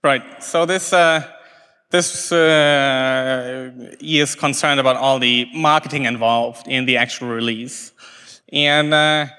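Halting German-speaking man in a hall. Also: mid-range voice, fairly even intonation, bright, forceful tone, clean tone. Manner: halting, many restarts and fillers. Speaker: conference speaker. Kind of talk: conference talk. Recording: hall reverb from a talk venue.

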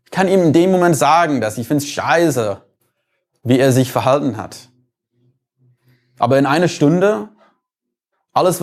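A man from the United States with an American accent, giving an explanatory lecture, thoughtful and sometimes searching for words. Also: medium pitch.

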